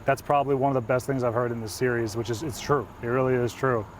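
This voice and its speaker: Fast-talking enthusiastic male host. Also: medium pitch, fairly even intonation, a little hiss or hum. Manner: enthusiastic, affirming, quick. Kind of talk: casual interview chat. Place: outdoors by a parked car.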